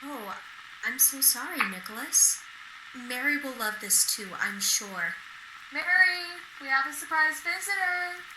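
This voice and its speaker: A woman's voice: high voice, animated, unhurried. An actress playing a polite, sympathetic young girl's mother in a radio drama. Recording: echoey, noisy recording.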